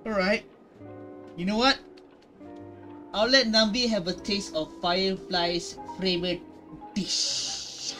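A man's voice: sits high, fairly even intonation, drawn-out words, long pauses, pushed and bright. A man giving casual playthrough commentary as a gaming streamer, in short muttered reactions. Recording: game music behind the voice.

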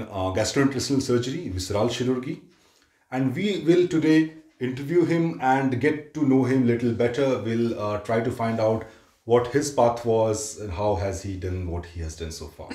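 A man in his thirties from India hosts a relaxed sit-down chat on a sofa, his voice sitting at a middle pitch. He explains at length, with filler sounds and restarts. The sound carries a noticeable room echo from a camera mic some distance away. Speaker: interviewer and channel host. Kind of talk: casual conversational interview. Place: living room, seated on a sofa indoors. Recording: echoey room.

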